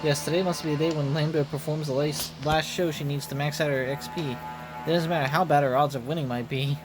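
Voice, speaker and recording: Game party leader, male reader: resolute, grand, orderly delivery, somewhat high male pitch, music clearly underneath. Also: fairly even intonation, brisk, close to an everyday voice. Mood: determined. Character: resolute escape planner, grandly determined and vengeful.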